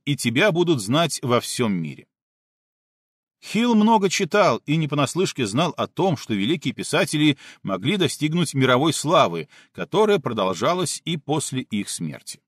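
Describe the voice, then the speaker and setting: A man reading an audiobook as a scripted narration, measured and clearly articulated. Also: fairly high pitch, fairly bright tone, dry recording, clean studio sound.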